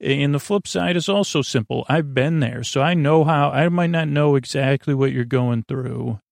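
Gravelly, meandering man hosting a bedtime podcast. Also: mid-range voice, no room sound, clean studio sound. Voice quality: creaky, gravelly. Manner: meandering, halting, trailing off mid-thought. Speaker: podcast host. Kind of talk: rambling bedtime podcast intro monologue.

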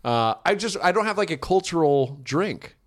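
Animated man swapping casual comedic stories. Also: on the high side, normal speed. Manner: animated anecdote telling with acted-out quotes. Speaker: podcast co-host.

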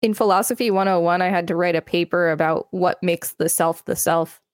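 A woman's voice: fairly low pitch, fairly even intonation, a little fast, clear, resonant tone, no room sound. A woman co-hosting a podcast, reading an encyclopedia article aloud with dry, wry quips. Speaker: podcast co-host.

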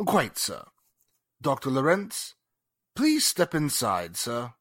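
A man's voice: mid-range voice, huge pitch swings, slow pace, a bit bright, dry recording, full character voice. A man playing a stiff, deferential butler in a 1940s-style radio drama, speaking in a formal, stately way. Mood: stiffly formal.

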